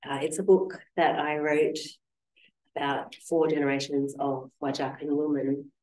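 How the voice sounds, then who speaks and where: A woman with an Australian accent and a soft, quiet voice, speaking gently and reflectively about her writing, with many small ums. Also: sits low, little pitch movement, a little slow. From Western Australia. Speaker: author reading and in conversation. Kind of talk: literary conversation and reading intro. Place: lecture room, seated on a small stage. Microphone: handheld mic held at chest. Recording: roomy lecture hall echo.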